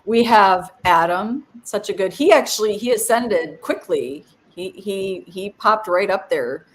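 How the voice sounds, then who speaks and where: A woman's voice: medium pitch, expressive, a little slow, poor audio. Giggly woman greeting live viewers. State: phlegmy, coughing. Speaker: co-host of a live stream. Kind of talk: casual live-stream chat.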